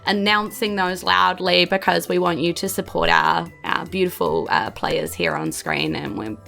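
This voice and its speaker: A woman's voice: fairly low pitch, lively intonation, moderate pace, gravelly, bright, forceful tone, faint music behind. A woman with a New Zealand accent, chatting enthusiastically and casually as a podcast host and game master. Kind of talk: casual podcast outro chat.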